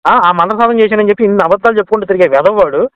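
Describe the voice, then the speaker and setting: A man in a heated phone argument, aggressive, abusive and quick to interrupt, on a lo-fi call recording. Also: high-pitched, clear, resonant tone, dry recording. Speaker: caller in a heated phone debate.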